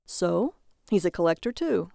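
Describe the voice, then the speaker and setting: A woman voicing a childish, excitable, defensive husband in a story, protesting earnestly. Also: fairly low pitch, normal speed, gravelly, no room sound, studio quality.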